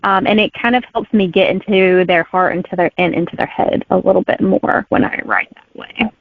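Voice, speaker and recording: A woman's voice: on the low side, brisk, vocal fry, no echo. A woman in her thirties answering interview questions as a guest author, friendly and giggly, with lots of "um" and "like". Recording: tinny phone-like remote line, dry.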